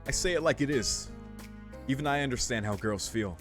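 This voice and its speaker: A man's voice: mid-range voice, lively intonation, a little fast. A man hosting a game playthrough, talking in a slangy, jokey way and reading the game's text aloud. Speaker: gaming video host. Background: game music.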